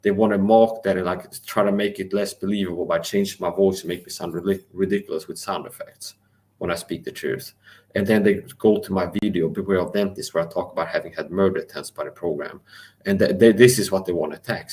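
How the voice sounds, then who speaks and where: A man with a very low, deep voice holds forth in an angry, defiant livestream rant. He swears freely, repeats himself and challenges his listeners directly. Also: steady tone, brisk, a little mellow. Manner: angry, defiant, profane, repetitive. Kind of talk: ranting live monologue.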